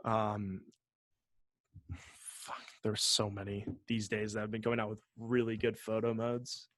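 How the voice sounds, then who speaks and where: A man's voice: lowish voice, completely flat delivery, unhurried, a bit bright, no room sound. Casual male podcast co-host, dry close sound. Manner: profane, joking and sarcastic. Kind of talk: casual gaming podcast chat.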